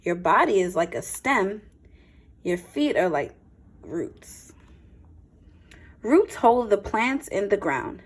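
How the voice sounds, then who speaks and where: A woman teacher reading a picture book aloud to young children, with expressive phrasing and simple explanatory asides. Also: mid-range voice, slow pace, pauses often, husky.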